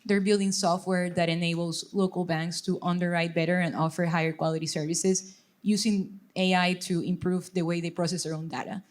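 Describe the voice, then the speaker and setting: A woman's voice: fairly low pitch, little pitch movement, fairly quick. A woman from Ecuador, a venture fund director, introducing herself fluently on a panel. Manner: fluent and composed.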